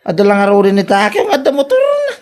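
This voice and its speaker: A woman's voice: medium pitch, huge pitch swings, quick pace, darkish tone. Woman acting a flustered, swooping country suitor. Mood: humble, sulky. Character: humble, easily flustered country suitor with a carabao. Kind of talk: Ilocano comedy radio drama acting.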